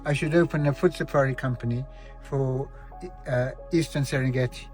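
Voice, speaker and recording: A man with a mid-range voice talks casually outdoors on a safari drive, explaining things in a relaxed way. Clear music plays under his voice. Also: medium pitch, moderate pace.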